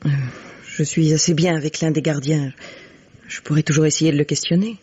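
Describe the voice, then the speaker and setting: A husky, fairly low-voiced woman as a protective widow in a vintage radio mystery. She is composed and earnest, and the old recording sounds dull and lo-fi. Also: steady tone, fairly quick, mellow. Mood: calm, reassuring. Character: resolute, protective widow sheltering a fugitive. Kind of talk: French radio mystery drama acting.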